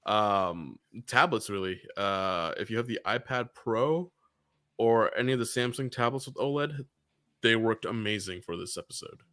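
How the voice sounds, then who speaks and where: A man's voice: on the low side, lively intonation, moderate pace, bright, forceful tone, no room sound, studio quality. A man co-hosting a podcast in easygoing, chatty conversation who laughs often. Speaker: podcast co-host. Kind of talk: casual podcast chat.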